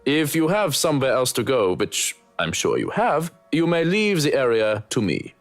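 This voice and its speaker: An actor playing a fastidious, proud butler and cook in a visual novel game, dry and lecturing in manner. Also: mid-range voice, very animated, soft background music. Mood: fussy, territorial.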